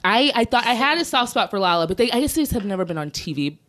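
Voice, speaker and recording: A woman's voice: fairly high pitch, sing-song, fast, a bit bright. A woman guest answering questions in a casual, gossipy, slangy and playful way. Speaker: podcast guest, TV research producer.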